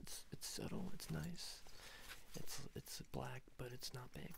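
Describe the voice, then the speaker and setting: A man doing an ASMR salesman roleplay in a breathy whisper and murmur, rambling and repeating himself in a folksy, halting sales patter. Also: mid-range voice.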